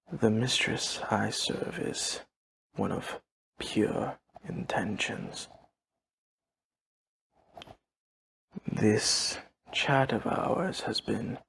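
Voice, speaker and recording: A courteous old vampire servant speaks at an unhurried pace with a heavy fry in his low voice. His delivery is formal and drained of emotion, as if reporting to a guest in an audio roleplay. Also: a little hiss or hum, full character voice, low-quality recording. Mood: formal, composed. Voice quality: gravelly, breathy husk. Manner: formal, measured, deadpan, no contractions. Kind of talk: audio roleplay acting, addressing the listener.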